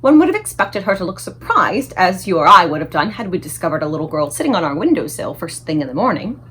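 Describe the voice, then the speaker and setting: A woman reading a children's book aloud as an expressive storyteller. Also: lowish voice, fast, rough recording.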